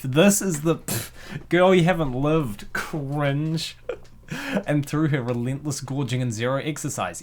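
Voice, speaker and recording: A man narrating a comic dub with playful, slangy joking asides. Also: mid-range voice.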